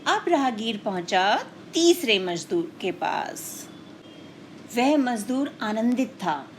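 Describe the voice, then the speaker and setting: A woman's voice: on the high side, a little hiss or hum. A woman teacher telling a moral lesson to children in a didactic way, explaining and putting questions straight to her listeners. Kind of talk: scripted storytelling lesson addressed to children.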